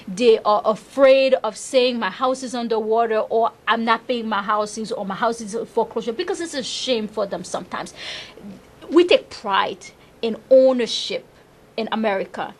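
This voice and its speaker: A middle-aged Haitian-accented woman speaks with a fairly high voice. She is eager and animated as a guest on an interview, and she often restarts on small words while she finds her phrasing. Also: moderate pace. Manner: enthusiastic, repeats words when searching for them. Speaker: councilmember guest. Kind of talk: interview conversation.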